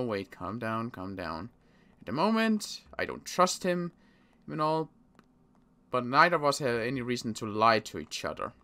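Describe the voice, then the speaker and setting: A man playing a worried zombie apocalypse survivor in an improvised game roleplay, muttering to himself and repeating words haltingly. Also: mid-range voice, sharp tone.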